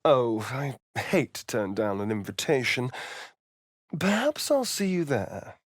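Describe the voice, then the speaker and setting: An actor playing a suave, sardonic, preening vampire rogue in a video game, with an arch, theatrical drawl and dramatic pauses. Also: male voice, medium pitch, sing-song, no echo, clean studio sound. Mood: arch, teasing. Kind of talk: video game character voice acting.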